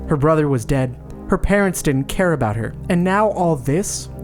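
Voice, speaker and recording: A man with a fairly high voice hosts a comedy podcast. Loud music plays behind him as he speaks. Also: lively intonation, moderate pace. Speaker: podcast host. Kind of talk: dramatic scripted narration, then casual chat.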